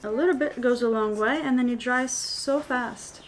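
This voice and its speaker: Woman, native albanian speaker, chatty craft tutor, slightly high voice, faint hiss. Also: normal speed. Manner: chatty, switches between languages, fillers and restarts. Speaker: paper flower crafter and tutor. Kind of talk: live-stream craft tutorial, reading comments.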